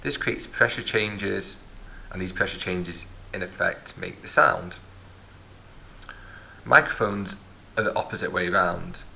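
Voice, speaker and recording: Deep, gravelly man teaching science. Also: a little slow, long pauses, sharp tone, a little hiss or hum. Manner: methodical, recapping step by step. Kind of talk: explanatory physics lesson. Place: at a desk, filming a notebook from above.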